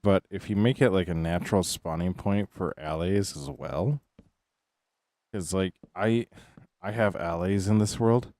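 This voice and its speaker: Young man, very low voice, rambling, casual gaming chatter. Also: measured pace, darkish tone, no room sound. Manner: rambling, filler-heavy musing. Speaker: streamer. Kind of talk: casual gaming stream chat.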